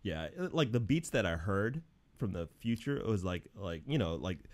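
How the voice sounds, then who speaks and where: A man's voice: lowish voice, very animated, moderate pace. A young man chatting casually about an episode in a laid-back, easygoing way. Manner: laid-back, says dude a lot. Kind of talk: casual review chat.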